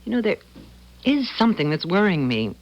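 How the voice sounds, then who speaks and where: An actress playing a fretful, plain-spoken small-town doctor's wife in a 1970s radio drama, confiding and folksy. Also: female voice, medium pitch, big pitch swings, brisk, highs cut off. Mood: worried, confiding. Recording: 1970s radio broadcast recording.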